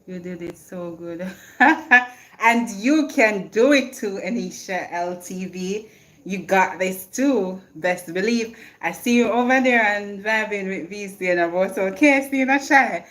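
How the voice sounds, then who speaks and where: A woman's voice: mid-range voice, measured pace, fairly bright tone. A Jamaican woman hosting a live stream, chatting casually and answering viewer comments. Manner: reads viewer comments aloud and answers them, laughs often. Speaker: livestream host. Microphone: phone.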